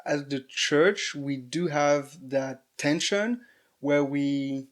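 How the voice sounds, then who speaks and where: A man's voice: on the high side, slow. A man from the Republic of the Congo co-hosting a casual interview, forming his questions hesitantly with restarts. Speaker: interview co-host.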